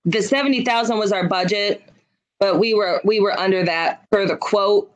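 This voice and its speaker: A woman's voice: medium pitch, normal speed, low-quality recording. A woman on staff answering a board member's question on a video call, explaining the figures plainly and helpfully.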